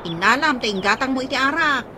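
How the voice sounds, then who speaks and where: A woman's voice: high-pitched, lively intonation, fast, noisy background. A woman with an Ilocano accent playing a scolding, unforgiving sister in a radio comedy drama. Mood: scolding, dismissive.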